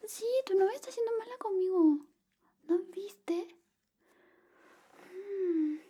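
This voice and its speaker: A woman playing a jealous, pouting best friend in an ASMR roleplay, speaking softly and often in whispers. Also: very high voice, slow.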